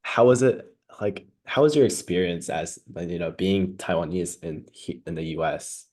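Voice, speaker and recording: Deep-voiced rambling male interviewer. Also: expressive, normal speed, darkish tone. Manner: rambling questions with repeated starts. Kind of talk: casual interview questions.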